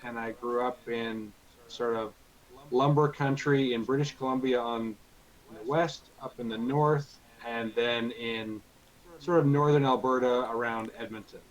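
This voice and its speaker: Man, Canadian accent, stream guest, modest, short dry replies, mid pitch. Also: fairly even intonation, unhurried, highs cut off. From Western Canada. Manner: modest, brief, dry answers. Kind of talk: casual interview chat.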